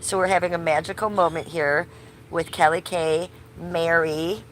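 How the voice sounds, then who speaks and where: A woman hosts a loose, chatty livestream from her tree-covered backyard, a bit sick and losing her voice. Her voice sits on the lower side. Faint outdoor noise and passing cars can be heard behind her. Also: fairly even intonation, normal speed, rough recording. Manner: chatty, loose, swears casually, talks to chat viewers by name. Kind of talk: casual livestream chat outdoors. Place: backyard under trees, outdoors.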